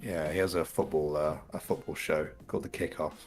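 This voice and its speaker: A man's voice: a bass voice, steady tone, a little fast, a little mellow, soft background music. A British man co-hosting a live stream, chatting with wry, dry humor. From Britain. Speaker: co-host. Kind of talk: casual live stream chat.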